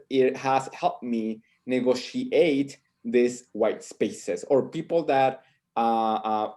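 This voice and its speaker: A man with a voice at an ordinary pitch, speaking as a panelist on a video call. He talks in a reflective way and often tags his points with "right?". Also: a little mellow. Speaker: professor on a panel.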